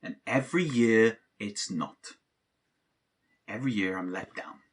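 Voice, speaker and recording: A man's voice: fairly low pitch, measured pace, slightly rough. An actor playing a cynical, exasperated young man in an audio drama, with a sardonic, disbelieving way of talking. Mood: resigned, let down. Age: young adult. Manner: sardonic, incredulous. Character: cynical adult man, exasperated, let-down Christmas sceptic, best friend. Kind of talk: naturalistic audio drama dialogue.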